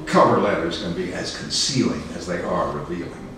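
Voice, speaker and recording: A man giving a dramatic reading of a written memoir at a public program, with measured, deliberate phrasing. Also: deep voice, expressive. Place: indoor arts center venue. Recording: roomy echoing hall, distant mic, faint music.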